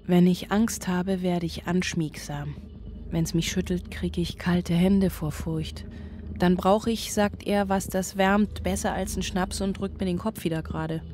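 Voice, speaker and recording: A woman's voice: on the low side, fairly even intonation, a little slow, darkish tone, slight background noise, close to an everyday voice. An actress playing an anxious, overwrought single mother, brooding and confessional in a radio play monologue. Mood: brooding, yearning. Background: music.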